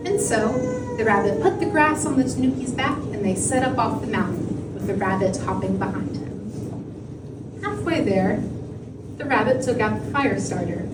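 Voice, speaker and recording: A woman's voice: mid-range voice, expressive, moderate pace, distant mic. Woman storyteller in a noisy, echoey room. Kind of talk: read-aloud fairy tale narration.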